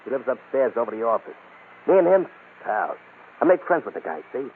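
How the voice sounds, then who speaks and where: Man playing nervous gang front man, rapid slangy talk, old radio crime play, tinny narrow band. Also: medium pitch, big pitch swings, slight background noise, close to an everyday voice. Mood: eager, slangy. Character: nervous, wiry small-time crook, gang front man. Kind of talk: old-time radio crime drama acting.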